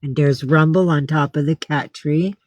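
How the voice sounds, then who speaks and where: A woman's voice: sits low, fairly even intonation, moderate pace, a little mellow, dry recording, highs cut off. Female livestreamer, casual and blunt, rambling delivery with loose swearing, recorded dry at home. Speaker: livestream host. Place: indoors at home with cats.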